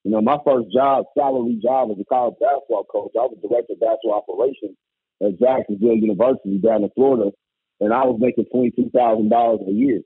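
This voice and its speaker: A man from Indiana with a loud voice, a former basketball coach, telling his story in an emphatic, animated way. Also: mid-range voice, fast talker, husky, no echo. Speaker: guest, former college basketball coach turned musician. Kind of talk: casual conversation. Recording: tinny phone-like line, dry.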